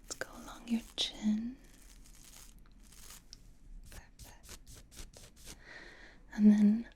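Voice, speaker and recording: A woman voicing a flirtatious, teasing, possessive vampire in a soft ASMR roleplay, whispering breathily close to the microphone. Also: medium pitch, completely flat delivery. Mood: tender, flirtatious. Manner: slow, soothing, coy teasing.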